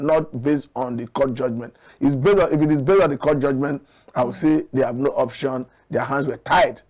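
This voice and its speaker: A man with a Nigerian accent, arguing his points deliberately and emphatically as an interview guest analysing politics. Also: mid-range voice, darkish tone. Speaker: studio guest, commentator.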